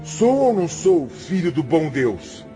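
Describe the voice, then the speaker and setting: A man's voice: a little high, sing-song, measured pace. A man acting in a radio drama as a self-pitying, defiant male spirit, theatrical and complaining as he justifies himself. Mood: aggrieved pleading. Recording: music under the voice.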